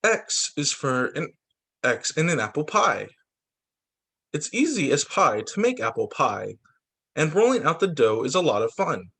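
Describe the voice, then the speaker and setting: Man, higher-pitched voice, very lively intonation, sing-song children's read-aloud, teacher storytelling. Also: a little slow, vocal fry, slightly rough. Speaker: teacher reading to young students.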